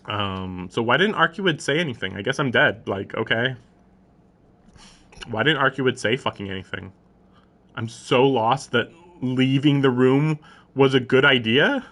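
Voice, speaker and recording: A man's voice: medium pitch, a little slow, fairly bright tone. A man live-streaming a visual novel, reading the narration aloud and breaking into casual, incredulous commentary with some swearing. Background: game music.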